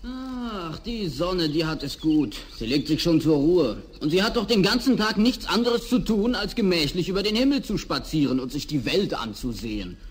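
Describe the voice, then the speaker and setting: An actor playing a crafty, lazy, cheeky peasant in a 1960s fairy-tale radio play, theatrical and self-satisfied. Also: male voice, a little high, sing-song, normal speed, few pauses, breathy edge. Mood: weary, curious.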